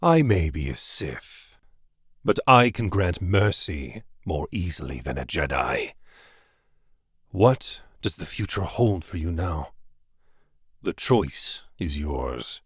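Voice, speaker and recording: A man playing a menacing, cold Sith lord in an audio drama, taunting in a deliberate manner. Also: sits low, big pitch swings, breathy edge, no echo, clean studio sound, full character voice. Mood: grave, commanding. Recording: heavy background noise.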